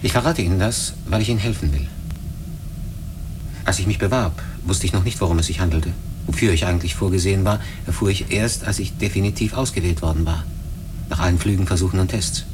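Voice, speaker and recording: A low-voiced, earnest crewman played by a male actor in a radio drama, with noticeable background noise. He speaks deliberately and hesitantly, as if sharing a confidence. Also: lightly acted. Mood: hesitant, confiding. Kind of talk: German radio drama acting. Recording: clear background ambient noise.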